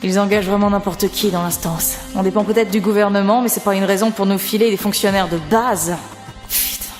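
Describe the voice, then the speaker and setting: A woman's voice: medium pitch, fairly even intonation, a little fast, a little mellow, lightly acted. A woman playing a stern, foul-mouthed government lab boss in an audio drama, curt and commanding. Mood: irritated, impatient. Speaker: lab director. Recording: long hall reverb, music underneath. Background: music.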